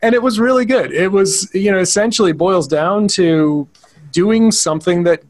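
A man's voice: high voice, moderate pace. A man co-hosting a podcast who teaches yoga, rambling casually with dry jokes. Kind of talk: casual chat.

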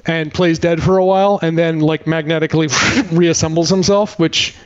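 A man's voice: fairly high pitch, steady tone, normal speed, clear, resonant tone. A middle-aged man co-hosting a podcast, recapping a film in rambling, incredulous casual talk. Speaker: podcast co-host. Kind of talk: casual chat, movie recap. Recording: dull, band-limited podcast audio.

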